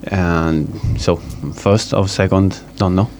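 A man's voice: low-pitched, fairly even intonation, slow pace, a little mellow, slight background noise. A young man hosting a casual community radio chat, speaking hesitant English full of fillers. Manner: hesitant, filler-heavy non-native English. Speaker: radio show host.

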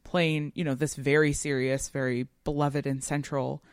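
Woman, low voice, dry close sound, podcast co-host, analytical, thoughtful, conversational, says "like" often. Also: flat delivery, moderate pace, dry recording. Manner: analytical, thoughtful, frequent "like" and "right?". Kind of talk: conversational literary discussion.

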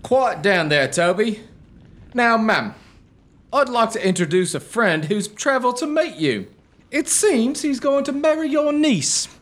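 A man acts a sly suitor disguised as an old sailor in a comic audio drama. He speaks slowly and very expressively, with folksy, mock-humble flattery. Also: extremely high pitch, exaggerated voice. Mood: ingratiating.

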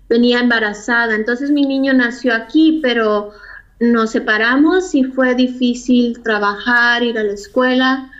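A woman from Mexico with a Mexican Spanish accent, telling her personal story as an interview guest in a halting, reflective way, often searching for words. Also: on the high side. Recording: dull lo-fi recording.

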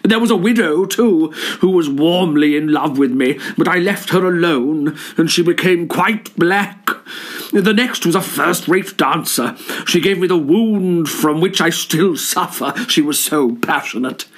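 Pompous, flattering shirt-collar suitor, read by a man; vain braggart, slightly high-pitched, storybook tale. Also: unhurried. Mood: boastful. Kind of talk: storybook reading.

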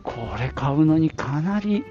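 A man's voice: on the high side, a little slow, mellow. A middle-aged or older man chatting casually on his own as a podcast host, reminiscing in a reflective way and thinking aloud. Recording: dull lo-fi audio, prominent music. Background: music.

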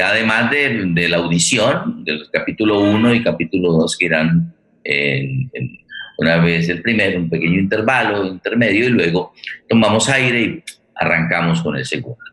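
Very deep-voiced Colombian man, formal host. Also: bright, forceful tone. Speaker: host of a streamed theatre program. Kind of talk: formal spoken introduction. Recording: roomy echo on the voice.

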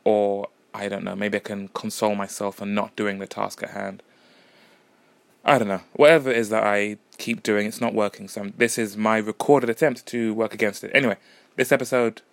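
A man's voice: lowish voice, fairly even intonation, moderate pace, creaky, no echo. A man hosting his own podcast alone, musing in a rambling, self-deprecating way. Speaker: podcast host and musician. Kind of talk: casual solo podcast chat.

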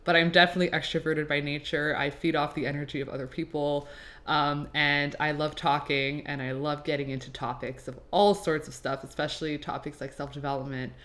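A woman's voice: deep voice, steady tone, fairly quick, fairly bright tone. Chatty young Canadian woman, native French speaker. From Quebec, Canada. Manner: chatty, extroverted, filler-heavy with "like". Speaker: podcast host. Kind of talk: casual personal monologue. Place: apartment.

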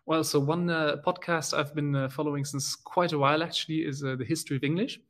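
A man from Belgium, speaking as a guest in a casual conversation, often pausing with fillers and restarting his sentences. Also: a little high, fairly even intonation, a bit bright. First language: German. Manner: hesitant, many fillers and restarts.

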